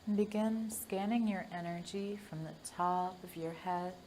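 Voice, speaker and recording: A woman leading a guided meditation in a gentle, soothing manner, leaving long pauses between phrases. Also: lowish voice, steady tone, a little hiss or hum.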